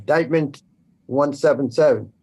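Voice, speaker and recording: A man's voice: medium pitch, moderate pace, darkish tone, husky. A man taking part in a class, talking casually in short replies. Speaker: class participant. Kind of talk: casual group talk.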